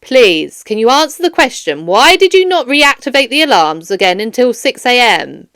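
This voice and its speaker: A woman's voice: fairly high pitch, big pitch swings, fairly bright tone, dry recording, studio quality. A woman voicing a firm, formal police detective questioning a suspect in a children's audiobook. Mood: insistent. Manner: formal, measured, stern. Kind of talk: children's audiobook character dialogue.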